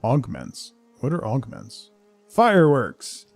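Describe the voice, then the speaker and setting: A man's voice: medium pitch, wildly swooping pitch, slow pace, vocal fry, dry recording. A man giving casual live game commentary, playfully muttering asides and short exclamations as he chats to viewers. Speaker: game streamer.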